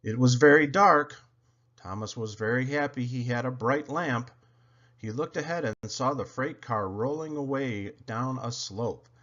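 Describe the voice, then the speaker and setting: A man reading a children's story aloud in an expressive, dramatic, deliberate way. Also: mid-range voice, dull audio. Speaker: storybook reader.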